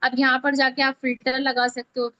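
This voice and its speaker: High-pitched Indian woman teaching, dull audio. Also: little pitch movement, brisk, no room sound. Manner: conversational, repeatedly checks understanding. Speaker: video editing teacher. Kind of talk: informal online tutorial, explaining step by step. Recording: dull, lo-fi online class audio.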